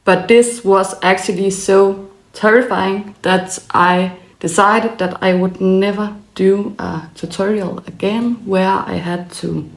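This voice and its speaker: A Danish woman with a Danish accent, a small business owner calmly telling the story of her business. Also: medium pitch, slow pace, hardly any pauses. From Denmark. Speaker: small business owner and laser file designer. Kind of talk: personal story narration. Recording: echoey room.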